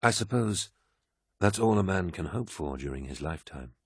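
A man voicing a commanding, brooding, proud warlord in an audiobook, with a grave, imperious, husky delivery. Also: sits low, expressive, normal speed, no room sound, studio quality, exaggerated voice. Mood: wistful.

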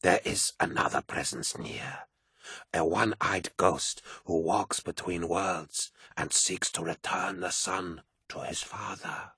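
A man voicing an old female cult priestess, speaking slowly in a breathy, cryptic, menacing hush. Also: dry recording, exaggerated voice. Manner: slow, low and cryptic. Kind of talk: audiobook character voice.

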